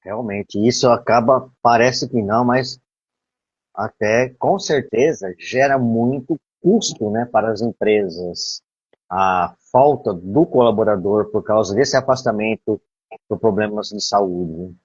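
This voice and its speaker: Slow, husky, low-voiced Brazilian man. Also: no room sound. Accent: Brazilian Portuguese. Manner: polite, agreeing, addresses the guest formally. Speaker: host interviewing the guest. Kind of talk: live conversation. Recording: dull lo-fi dry sound.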